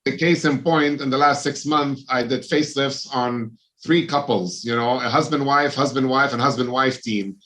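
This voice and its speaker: A middle-aged man from Lebanon, a plastic surgeon, answering interview questions in a measured, explanatory way with many ums and uhs. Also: mid-range voice, a bit bright.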